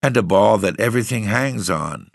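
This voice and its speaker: A man's voice: lowish voice, lively intonation, moderate pace, creaky, no echo, studio quality, pitched above the performer's own voice. A man voicing a frail, timid young woman who speaks in a fretful quaver. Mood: anxious.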